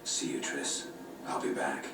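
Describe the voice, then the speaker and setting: A gruff cynical monster hunter, super deep voice, curt and dismissive, game audio far off and roomy. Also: male voice, level tone, measured pace, background noise. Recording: game audio from far off in an echoey room, with music.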